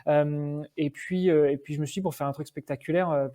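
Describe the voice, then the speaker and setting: A man's voice: on the high side, steady tone, fairly quick, dark tone. A man from France, a podcast guest and endurance athlete, explaining at length in a casual, informal way with hesitations. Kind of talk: casual podcast interview chat.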